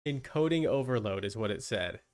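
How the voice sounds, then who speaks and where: A man's voice: mid-range voice, lively intonation, brisk, a little mellow. A man chatting casually on a game livestream, thinking aloud and muttering to himself. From Canada. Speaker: game livestreamer using an avatar.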